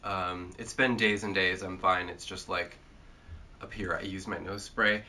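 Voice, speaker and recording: A man's voice: sits low, fairly even intonation, unhurried, pushed and bright, slight background noise. A man hosting a casual chat, congested from a cold, rambling and joking with lots of filler sounds. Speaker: host.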